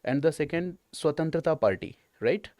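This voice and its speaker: A man's voice: on the high side, lively intonation, moderate pace, darkish tone, no echo. Emphatic Indian male teacher, dry close sound. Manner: emphatic, repetitive, punctuated with check-in questions. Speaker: exam coaching teacher. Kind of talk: explanatory exam walkthrough.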